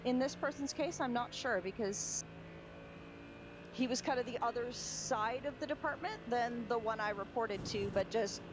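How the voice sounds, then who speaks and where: A woman's voice: fairly high pitch, moderate pace. A young woman, chatting casually and rambling, with restarts and fillers. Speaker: sim racing streamer. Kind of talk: casual chat. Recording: background noise from game audio.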